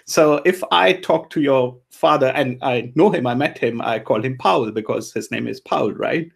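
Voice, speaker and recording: A man's voice: medium pitch, normal speed, creaky, slightly rough. A man in his thirties from India with an Indian accent, chatting casually as a podcast host on a remote call. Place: living room, remote video call. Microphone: headphones, video call.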